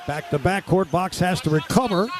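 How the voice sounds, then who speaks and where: A man's voice: high voice, normal speed, slight background noise. A man doing live radio-style basketball play-by-play in clipped, vivid running descriptions.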